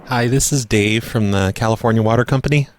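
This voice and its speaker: A man's voice: medium pitch, a little fast. A man making a prank phone call as a host posing as a utility worker, stammering and accusing in a mock-serious way. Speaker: prank caller, show host.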